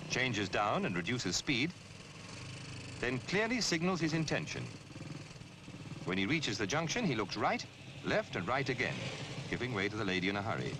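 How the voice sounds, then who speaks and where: A man reading scripted public information film narration in a measured, instructive, emphatic way, on an old film soundtrack. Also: mid-range voice, big pitch swings, noisy background. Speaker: narrator of a road safety film.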